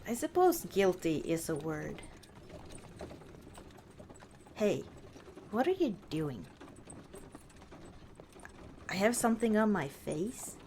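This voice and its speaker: A woman voicing a proud but softening battle-scarred orc warrior wife in an intimate ASMR roleplay, speaking tenderly and haltingly. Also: medium pitch, sing-song, a little hiss or hum. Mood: surprised, tender. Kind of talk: ASMR roleplay, intimate one-sided dialogue. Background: outdoor ambience, horse hooves.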